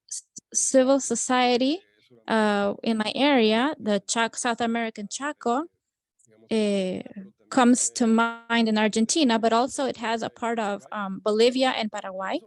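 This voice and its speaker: A woman giving a simultaneous interpretation into English over a video call, halting and pausing often with fillers. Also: a little high, no echo. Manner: halting, with frequent um fillers and restarts.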